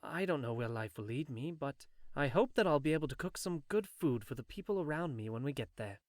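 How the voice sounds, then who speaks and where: A man's voice: medium pitch, expressive, brisk, a little mellow, no echo, clean studio sound, close to an everyday voice. A man voicing an earnest, mild young male gamer with an apologetic manner in a fantasy audiobook.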